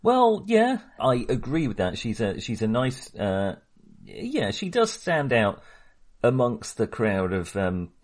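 A man's voice: mid-range voice, wildly swooping pitch, slow. A middle-aged man co-hosting a casual film discussion, talking in a wry, hesitant, self-correcting way. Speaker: film podcast co-host.

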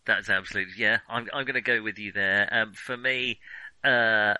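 Male podcast co-host, very bright forceful tone, lowish pitch, circling hesitant phrasing, casual film chat. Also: steady tone, moderate pace, clear, resonant tone, no room sound, poor audio.

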